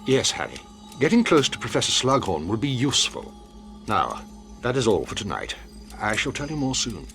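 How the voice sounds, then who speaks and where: An older man playing a wise, grave wizard headmaster in a video game, speaking in a measured, formal way. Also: mid-range voice, sing-song, a bit bright, soft background music. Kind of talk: scripted cinematic game acting.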